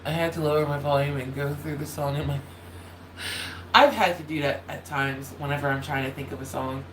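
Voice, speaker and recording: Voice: mid-range voice, fairly even intonation, brisk, a bit bright. A nasal voice that cracks a little, drifting through casual livestream chat and often stopping to apologize or restart. The room sounds echoey, with a faint space heater humming behind it. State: voice slightly cracking. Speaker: YouTuber hosting a holiday livestream. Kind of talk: casual rambling chat to viewers. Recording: roomy echo, faint heater hum.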